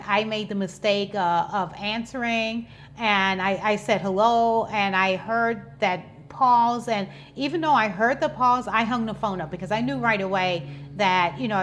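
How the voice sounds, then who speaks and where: A woman's voice: mid-range voice, a bit bright. A woman in her fifties hosting an informal explainer vlog, talking conversationally and often repeating words as she goes. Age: 50s. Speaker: channel host and business owner.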